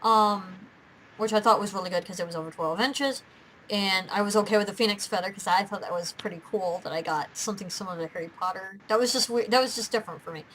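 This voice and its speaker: A woman's voice: medium pitch, fast, a bit bright, slight background noise, low-quality recording. A young woman hosting a casual chat, talking in a giggly, rambling way. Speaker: host of a fan gaming and quiz show.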